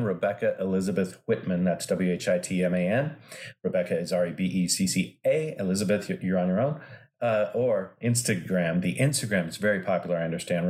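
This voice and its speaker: A man's voice: sits low, fairly quick, a little mellow. A man hosting a podcast interview, playful and jokey, with enthusiastic praise for his guest. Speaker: podcast host.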